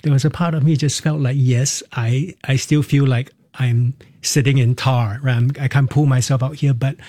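Mellow-voiced Singaporean man, reflective. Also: mid-range voice, steady tone, normal speed, no room sound, studio quality. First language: Cantonese. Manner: reflective, laughs easily, speaks in short restarting phrases. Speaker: interview guest, musical theatre performer. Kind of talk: candid personal storytelling.

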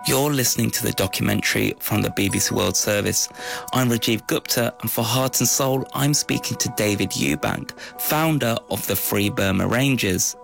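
A man presenting a radio documentary, reading a formal, measured scripted introduction. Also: on the low side, creaky, a bit bright, faint music behind. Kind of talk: scripted broadcast narration.

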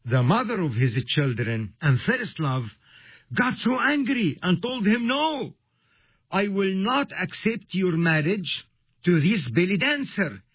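A man hosting a radio ministry, preaching in a slow, emphatic and earnest way. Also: on the high side, breathy edge, no echo. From Egypt. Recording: dull lo-fi radio sound.

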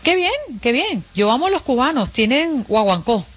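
A woman with a mixed Venezuelan and Caribbean Spanish accent hosts a morning radio show. Her voice sits a little high, playful in the chat and brisk and even on the headlines, close on a studio microphone. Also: slightly rough. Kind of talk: lively morning radio chat and news reading.

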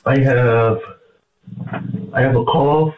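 A man's voice: mid-range voice, fairly even intonation, slow pace. A man calling in to a prayer show, sick with a cough and stuffy nose and losing his voice, repeating a prayer phrase by phrase. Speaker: regular caller. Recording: phone line.

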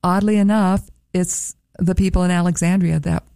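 A woman with a low, mellow voice that has a gravelly edge talks up a museum's events and prices in a friendly, promotional way. The sound is dry and close, with no room echo. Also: fairly even intonation, moderate pace. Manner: upbeat, promotional, careful over a foreign word. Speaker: museum staff guest. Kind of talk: radio interview chat.

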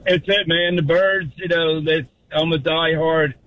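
A man's voice: a little high, fairly even intonation, unhurried. Blunt man on a muffled line. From Philadelphia, US. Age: 50s. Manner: insistent, blunt. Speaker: radio show caller. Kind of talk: casual phone chat. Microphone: phone call into radio show. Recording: muffled narrow-band phone call.

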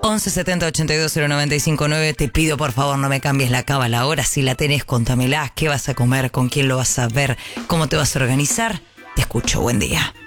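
A man's voice: medium pitch, steady tone, a bit bright, faint music behind. A man with an Argentine Rioplatense accent hosting a morning radio show, reading headlines and chatting playfully with listeners. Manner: playful, chatty, drawn-out emphasis. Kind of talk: radio press review and chat. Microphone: studio microphone.

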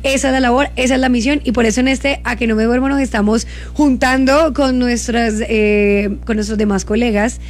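A woman's voice: fairly high pitch, fairly even intonation, normal speed, few pauses. A woman from Cali with a Colombian accent, chatting casually as a live radio host. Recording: music playing behind the voice. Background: background music.

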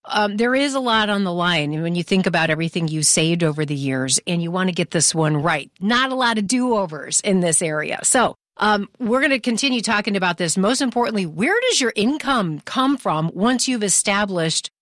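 A woman with an American accent speaks as a radio host, presenting and promoting in a polished broadcast style. Her voice sits at a middling pitch, recorded close and dry in a studio. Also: lively intonation, normal speed, few pauses, a bit bright, no echo. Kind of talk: scripted radio hosting and promotion.

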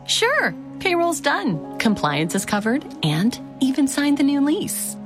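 Confident high-pitched ad spokeswoman. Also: huge pitch swings, slow, music in the background, exaggerated voice. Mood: confident, upbeat. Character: confident, upbeat woman pitching business software. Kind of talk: scripted advertisement.

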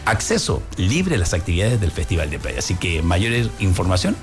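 A Mexican man hosting a radio show, chatting casually and reading announcements in an animated, talkative way. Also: low voice, over music. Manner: animated, chatty, quick asides. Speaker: radio host.

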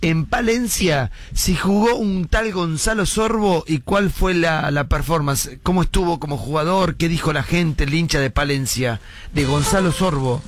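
A man's voice: fairly high pitch, measured pace, a little hiss or hum. A man with an Argentine accent, hosting a joking studio chat and firing off playful, teasing questions. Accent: Argentine Spanish. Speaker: host.

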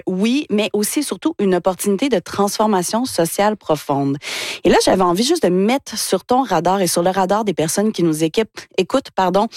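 A woman's voice: medium pitch, a little mellow, no echo, clean studio sound. A woman, giving a radio column on a word. She is thoughtful and hesitates, with many fillers. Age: 40s. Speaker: radio columnist, author and poet. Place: radio studio.

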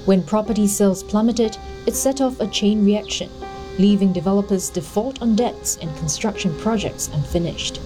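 A woman reading scripted news narration in a measured, even way. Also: mid-range voice, over music.

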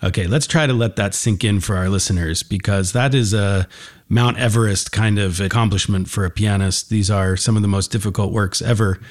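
A man's voice: fairly low pitch, steady tone, a little fast. Encouraging man hosting a music interview. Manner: encouraging, complimentary, asks simple guiding questions. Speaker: host interviewing a young musician. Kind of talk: broadcast music interview, conversational.

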